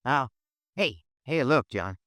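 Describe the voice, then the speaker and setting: An actor playing an eager, rough-spoken male swamp guide with folksy, ungrammatical talk in a 1950s radio drama. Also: mid-range voice, animated, slow, sharp tone, no echo, clean studio sound. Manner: folksy, ungrammatical, says "man" a lot.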